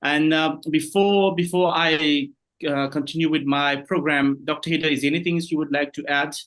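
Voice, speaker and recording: A man's voice: fairly high pitch, fairly even intonation, moderate pace, fairly bright tone. A man moderating an online business summit, speaking politely with frequent uhs over a video call.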